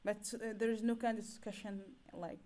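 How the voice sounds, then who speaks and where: A woman's voice: a little high, little pitch movement, normal speed. A woman interviewing a guest, asking long loosely phrased questions and giving frequent murmured agreement. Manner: frequent soft backchannels, rambling questions.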